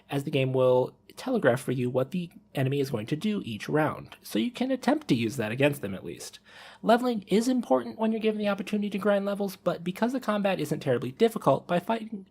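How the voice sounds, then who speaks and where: A man's voice: fairly high pitch, gravelly, breathy edge. A man co-hosting a podcast, talking casually and giving a prepared review with playful asides. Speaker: podcast co-host.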